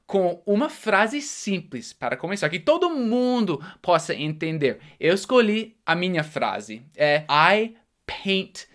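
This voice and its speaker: A man's voice: high-pitched, sing-song, fairly bright tone. Man teaching English grammar. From United States. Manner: explains patiently, slows down for example sentences. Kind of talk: bilingual grammar lesson.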